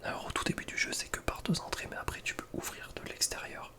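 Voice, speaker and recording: A man delivers ASMR ranking commentary entirely in a whisper. He is close to the microphone and speaks informally, with plenty of slang and hesitant asides. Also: fast. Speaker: ASMR content creator.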